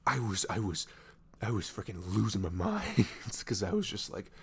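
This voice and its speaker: Low, gravelly, nervous lovestruck guy acted by a man. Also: very animated, exaggerated voice. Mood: flustered, giddy. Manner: halting, stammering, sighing, breathy laughs, often dropping to whispers. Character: nervous, dorky male best friend confessing his love, tender and goofy. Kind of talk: roleplay ASMR audio drama, intimate and conversational.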